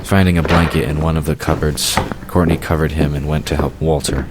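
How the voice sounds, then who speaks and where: A man with an extremely low voice delivers scripted narration for a fantasy audio drama. Ambient sound effects run clearly behind his voice. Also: fairly even intonation, brisk. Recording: clearly noisy background ambience. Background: outdoor ambience sound effects.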